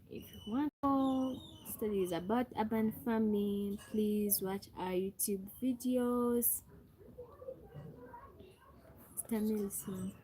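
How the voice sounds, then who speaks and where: Young Ugandan woman hosting a phone livestream, unhurried and warm-toned, faint room ambience behind. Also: mid-range voice, a little hiss or hum, rough recording. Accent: Ugandan English. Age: young adult. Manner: repetitive, calls out to viewers and asks for thumbs up. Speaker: livestream host. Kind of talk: live stream greeting viewers.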